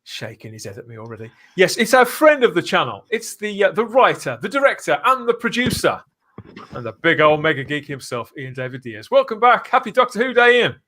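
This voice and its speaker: Man, middle-aged, podcast host, animated intonation, higher-set voice, chatty live show. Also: fairly quick, slight background noise. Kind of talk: lively live fan podcast chat.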